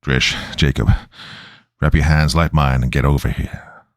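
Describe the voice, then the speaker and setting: Man playing a deep, commanding exorcist. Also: fairly even intonation, a little slow, dry recording, clean studio sound. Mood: urgent praying. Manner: commanding, solemn, low-voiced praying. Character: stern, determined man performing an exorcism.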